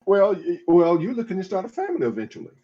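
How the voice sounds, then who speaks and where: A man's voice: high-pitched, huge pitch swings, quick pace, a crowd in the background. A man in his fifties talking casually on a podcast, rambling with many restarts and repeated "I mean"s. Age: 50s. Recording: dull lo-fi audio.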